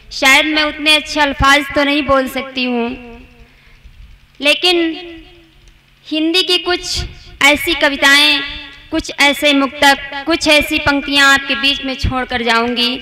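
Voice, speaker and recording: A woman poet at a live mushaira, speaking her introductions quickly and singing her verses slowly. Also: high voice, pushed and bright, a little hiss or hum. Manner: quick spoken introductions, slow sung verses. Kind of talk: recites and sings Hindi poetry at a mushaira. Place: outdoor poetry gathering stage.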